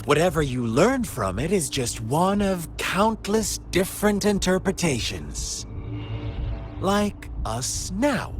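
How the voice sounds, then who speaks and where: An actor playing a sly, mocking villain who tells tales with theatrical, taunting drama in a video game. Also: male voice, on the high side.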